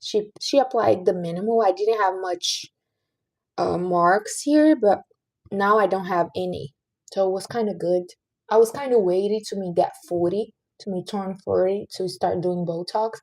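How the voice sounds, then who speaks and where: A woman's voice: medium pitch, moderate pace, darkish tone. A woman in her late thirties, chatting casually on a livestream and earnestly giving life advice to her viewers. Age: 30s. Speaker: livestream host.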